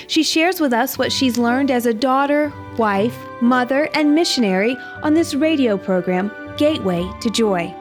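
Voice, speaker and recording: Woman announcer, high voice, polished scripted read, clear music bed. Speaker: program announcer. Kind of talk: scripted radio introduction.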